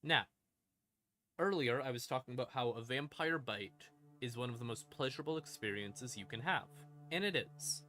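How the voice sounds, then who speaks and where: A man's voice: medium pitch, expressive, normal speed, bright, forceful tone. A man streaming a casual explanation of game lore, informal, with dry asides and dramatic emphasis. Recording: music behind the voice. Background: creepy ambient music.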